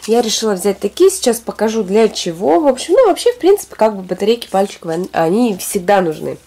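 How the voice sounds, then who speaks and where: A woman in her thirties from Russia, hoarse from a cold, chatting casually through a shopping haul at home. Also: medium pitch, big pitch swings, quick pace, warm tone. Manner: chatty, rambling, talks to herself. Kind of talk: casual product haul chat. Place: home, recording products on a fluffy rug.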